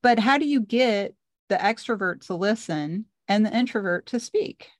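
A woman with a soft voice co-hosting a discussion, pausing often as she talks. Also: medium pitch, expressive, no room sound, clean studio sound. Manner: pauses while speaking. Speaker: co-host. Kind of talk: conversational discussion.

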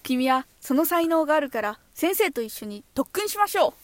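Woman playing a high-voiced, emphatic schoolteacher. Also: very animated, normal speed, dry recording. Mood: encouraging. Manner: instructive, emphatic. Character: brisk, encouraging, strict female schoolteacher of samurai pupils.

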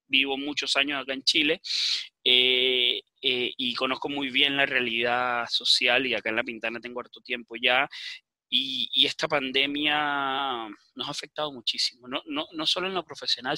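A man with a Venezuelan accent giving earnest interview answers as a public official, halting at times and repeating phrases for emphasis. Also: mid-range voice, pushed and bright, dry recording. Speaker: municipal official for older people's services.

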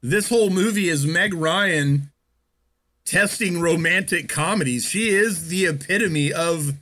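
A man co-hosting a film review chat, animated and jokey. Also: on the high side, a little slow. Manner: animated, jokey, acts out scenes in mock voices. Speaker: podcast co-host reviewing a film. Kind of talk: casual chat.

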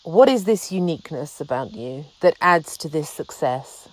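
A woman hosting a podcast interview, giving her guest warm, emphatic praise. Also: low voice, lively intonation, moderate pace, no echo, low-quality recording. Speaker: podcast host. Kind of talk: interview conversation.

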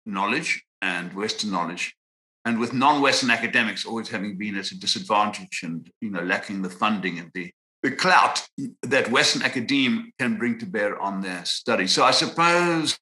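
A man in his sixties from Zimbabwe, a psychiatrist answering interview questions in a measured, reflective way with frequent pauses and restarts. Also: fairly low pitch, animated, sharp tone, rough recording. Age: 60s. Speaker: guest psychiatrist.

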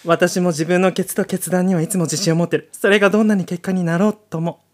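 A man's voice: high voice, fairly even intonation, quick pace, darkish tone, close to an everyday voice. A man voicing a haughty, self-assured femme fatale in an amateur radio drama, with theatrical feminine phrasing. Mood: proud, weary. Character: haughty glamorous femme fatale, weary of adoring suitors.

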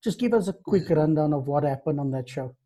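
A man hosting a casual interview, relaxed and friendly, with frequent fillers. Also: a little high, fairly quick. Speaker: podcast host.

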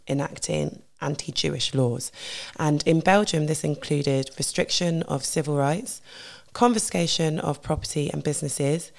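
Husky low-voiced woman podcaster. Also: darkish tone. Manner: chatty with fillers and self-corrections. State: husky voice from a tiring weekend. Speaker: podcast host. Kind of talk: casual educational podcast talk.

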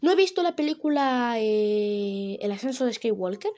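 A young woman with a Venezuelan Spanish accent, talking casually straight to her audience. Her voice sits a little high but sounds mellow and warm. She rambles, restarts and fills pauses as she goes. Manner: rambling, many fillers and self-corrections. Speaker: vlogger. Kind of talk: casual solo talk to camera.

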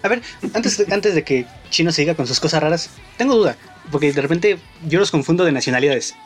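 A man's voice: on the high side, lively intonation, fairly quick, soft background music. A Mexican man co-hosting a group chat, asking curious questions and tallying the answers.

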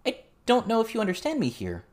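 A woman's voice: a little high, wildly swooping pitch, fast talker, darkish tone. A woman voicing a curious, outspoken teenage girl in an earnest, informal, questioning way. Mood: eager, insistent.

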